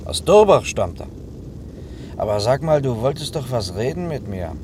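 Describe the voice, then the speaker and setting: A man's voice: mid-range voice, big pitch swings, normal speed. An actor playing a cautious, dutiful male chauffeur in a German radio drama, talking in a matter-of-fact, colloquial, admonishing way. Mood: earnest, admonishing. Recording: clear vehicle noise behind.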